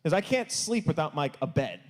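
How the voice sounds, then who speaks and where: Young male host, high lively voice, playful casual patter. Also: a little fast. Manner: playful, stumbling over foreign words. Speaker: youth service host. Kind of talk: casual live-stream chat. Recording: long church hall reverb.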